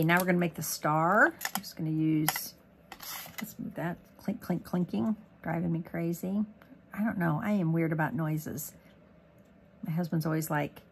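A hoarse woman talks casually through a stamping tutorial at her desk, rambling with asides as she works. Her voice sits a bit low and has a gravelly edge. A faint hiss runs under the recording. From Missouri, USA. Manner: chatty, rambling, lots of ums and asides. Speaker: craft demonstrator. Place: craft desk indoors.

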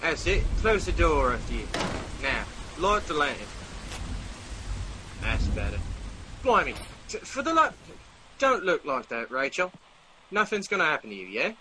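An actor playing a cruel, greedy servant in a radio mystery, bullying and scornful, ending remarks with "yeah?". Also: male voice, on the high side, sing-song, normal speed, long pauses. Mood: brisk, impatient. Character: cruel, greedy, scheming young working-class male servant. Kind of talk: radio mystery drama acting. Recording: long reverb, faint background noise.

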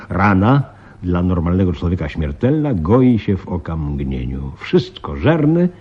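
An actor playing a flustered, grave veteran police commissioner in a radio play, husky and halting, repeating himself. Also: male voice, deep voice, mellow, exaggerated voice. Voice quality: husky, breathy. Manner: halting, muttering, repeats himself, dramatic emphasis. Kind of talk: Polish radio play acting. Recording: slightly dull radio play recording.

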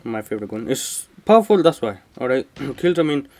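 A man's voice: medium pitch, sing-song, a little fast, a little mellow. A man giving casual, slangy let's play commentary as a gaming host, muttering reactions, with the microphone a little away from him. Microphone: microphone not close to the speaker.

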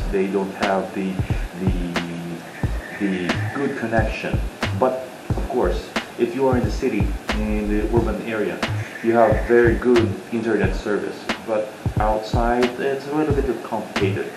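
Filipino man chatting in an echoey room. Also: lowish voice. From Philippines. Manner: rambling, many "uh" fillers. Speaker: vlogger. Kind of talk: casual vlog talk to camera. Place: small tiled bedroom. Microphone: camera mic at desk distance. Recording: echoey room with loud music.

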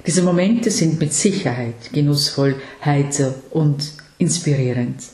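A woman hosting an interview, asking questions in a measured, encouraging way. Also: deep voice, a little mellow. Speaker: talk show host. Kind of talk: interviewing, scripted intro. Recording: echoey room.

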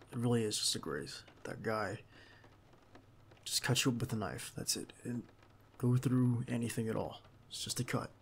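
A man playing a protective, reassuring bodyguard in an intimate ASMR roleplay, speaking in a hushed, halting, gravelly voice close to the listener. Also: mid-range voice, slight background noise, natural voice. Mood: steady, reassuring. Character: protective young male bodyguard, calm and reassuring.

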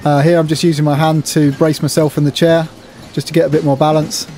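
A man's voice: fairly high pitch, little pitch movement, fairly quick, dark tone. A middle-aged man narrating an instructional exercise video in a plain, practical, explanatory way. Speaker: presenter demonstrating gym exercises. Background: music.

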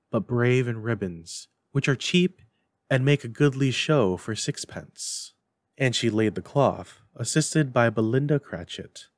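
A man's voice: mid-range voice, no echo. A man reading a story aloud in a dramatic storybook narration style.

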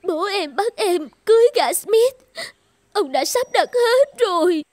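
A woman's voice: animated, unhurried, a little mellow, no echo. A woman playing a desperate, strong-willed young woman in love in a dubbed cartoon, girlish, emotional and pleading. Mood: tearful. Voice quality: very high, girlish.

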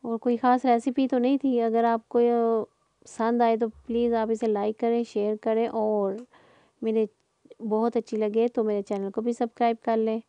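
A middle-aged woman talks through home cooking in a loose, conversational way. Her voice sits a little high but is warm and dark in tone, with little melodic movement. The sound is close and dry, like an informal voice-over. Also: level tone, normal speed, clear, resonant tone, no echo. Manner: conversational, rambling. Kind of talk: casual chatty cooking voice-over.

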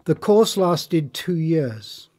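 Higher-pitched man reading formal narration. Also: lively intonation, very slow, darkish tone. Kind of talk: scripted documentary narration.

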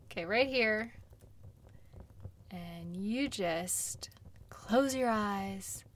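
A woman playing a friendly, caring dog sled guide in an intimate audio role play, gentle and teasing. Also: medium pitch, very slow, a little hiss or hum. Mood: soothing, hushed.

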